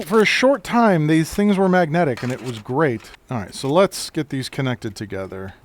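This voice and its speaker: A deep-voiced man, a computer repair technician, talking through a live-streamed repair at his shop bench in a relaxed, chatty way and answering his chat.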